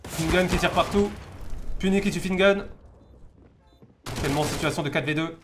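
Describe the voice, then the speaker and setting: A man's voice: high voice, brisk. A man from France giving informal live commentary on a video game match, repeating words as he searches for the next phrase. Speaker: esports caster. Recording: noisy game stream with gunfire. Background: game gunfire and clicks.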